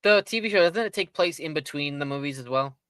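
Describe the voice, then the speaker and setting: Dude on a movie podcast, bright kinda high voice, super informal, repeats himself. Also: male voice, lively intonation, fast, dry recording, studio quality. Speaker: podcast co-host. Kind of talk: casual chat about films.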